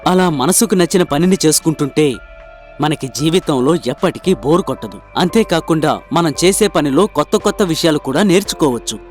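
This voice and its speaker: A man plays an earnest young inventor in a cartoon moral tale. His voice sits high for a man and he explains himself with hopeful sincerity. Faint music plays underneath. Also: lively intonation, a little fast, close to an everyday voice. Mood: defensive, proud. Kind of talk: dramatised cartoon moral story dialogue.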